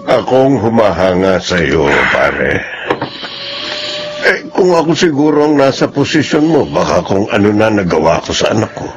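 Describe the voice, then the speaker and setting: A man's voice: lowish voice, sing-song, normal speed, muffled recording, soft background music. An actor playing a frank, sympathetic middle-aged Filipino friend and father in a radio drama. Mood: admiring.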